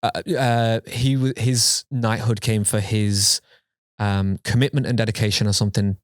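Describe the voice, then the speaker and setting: A man's voice: fairly low pitch, steady tone, moderate pace, dry recording, studio quality. A young man from England co-hosting a casual podcast, with a mixed English accent drifting toward Australian, rambling, swearing freely and mocking himself. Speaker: podcast co-host. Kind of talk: casual chat.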